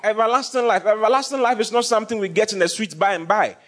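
A man's voice: high-pitched, fast talker, fairly bright tone. A man preaching a sermon, repeating phrases for emphasis and questioning his congregation. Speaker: pastor.

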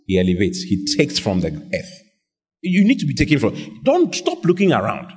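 Animated preacher in a reverberant hall. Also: male voice, mid-range voice, moderate pace, slightly rough. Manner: animated, call-and-response with the congregation. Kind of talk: lively sermon. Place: church hall.

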